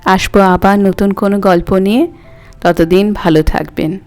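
A woman narrator reading literary fiction aloud in an expressive storytelling style. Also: medium pitch, moderate pace. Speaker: story narrator and channel host. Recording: music under the voice.

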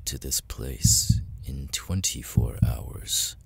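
A man playing a cold, menacing, seductive demon lord in an ASMR audio roleplay, breathy and gravelly, often near a whisper, with slow deliberate pauses. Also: extremely low pitch, no echo, heavily acted. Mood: cold, sinister.

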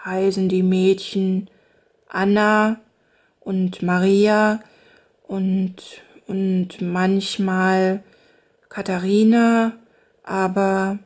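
A woman voicing a slow, plodding young woodcutter in a lower, hesitant drawl. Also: little pitch movement, darkish tone.

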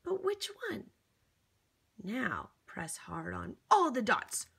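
A woman's voice: on the high side, very animated, slow, vocal fry, a bit bright, slightly rough. A woman reading a picture book aloud to young children, theatrical and encouraging, with exaggerated gasps and exclamations. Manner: encouraging, coaxing, addressing the child listener. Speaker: children's storybook reader.

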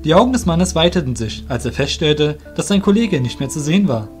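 A man narrates a scripted fantasy audio drama. His voice sits fairly high for a man. Faint music plays behind him. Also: sing-song, fairly quick, darkish tone.

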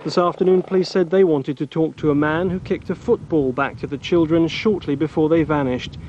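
Male news reporter, slightly high voice. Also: fairly even intonation, a little fast, darkish tone. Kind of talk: scripted news report narration. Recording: dull VHS sound with background noise.

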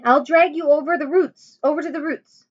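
A woman's voice: sits high, steady tone, moderate pace, pitched above the performer's own voice, natural voice. Woman doing an urgent young boy. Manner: urgent, pleading. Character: brave young boy, anxious, urging his sister. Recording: dull lo-fi recording.

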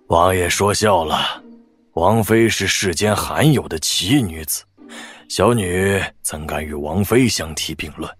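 A man's voice: sits low, slow, long pauses, husky, heavily acted. An actor playing a deferential, humble older father-in-law in an audio drama, with music behind him. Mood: humble, flustered.

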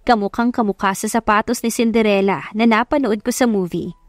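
A woman's voice: medium pitch, fairly quick, dry recording, studio quality, faint music behind. A woman reading a scripted first-person love story as an audiobook narrator.

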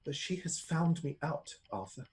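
A man acts a despairing husband in a period radio play. He is an earnest gentleman, melodramatic, with a fairly high voice. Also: animated, normal speed, natural voice. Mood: anguished.

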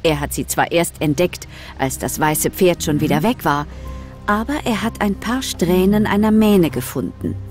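A female narrator reads a scripted children's story. Her voice sits a little low, with clear music behind it. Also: normal speed, darkish tone.

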